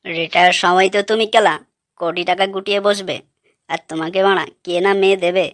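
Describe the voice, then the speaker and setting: A woman's voice: lowish voice, steady tone, fairly quick, no room sound, close to an everyday voice. A woman playing a blunt, persuasive male friend in a comic cartoon, with coarse, matter-of-fact talk.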